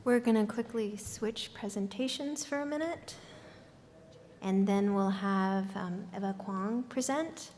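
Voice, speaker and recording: Woman, moderator, slow offhand announcement, noisy room. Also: medium pitch, darkish tone. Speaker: panel moderator. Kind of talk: announcing the next speaker.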